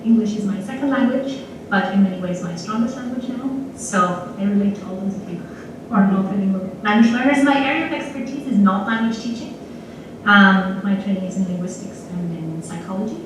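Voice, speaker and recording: A woman's voice: medium pitch, brisk. A woman from Switzerland with a Swiss German accent, a native Swiss German speaker, patiently explaining ideas step by step in an interview. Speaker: linguistics professor being interviewed. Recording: played-back video in an echoey room, distant, noisy.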